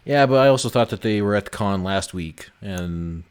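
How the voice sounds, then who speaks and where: Low-voiced man chatting on a livestream. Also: moderate pace, clean tone. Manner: casual swearing. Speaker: game developer hosting a livestream. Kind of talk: casual live stream chat. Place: indoor voice chat on a development livestream.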